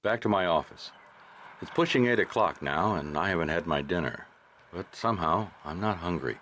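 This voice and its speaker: An actor playing a weary, wry private detective in a hardboiled radio drama, laconic and understated, both narrating and in dialogue. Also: male voice, low-pitched, lively intonation, normal speed, a bit bright, slight background noise. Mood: wry, watchful.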